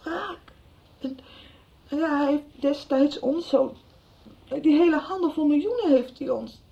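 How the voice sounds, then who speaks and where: An actress playing a desperate, bankrupt woman who makes a halting confession about a revenge plot in a radio soap. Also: sits high. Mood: bitter, hushed. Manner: broken, halting confession, swinging between sobbing and hushed bitterness. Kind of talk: 1990s radio soap acting. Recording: dull lo-fi radio recording, distant mic.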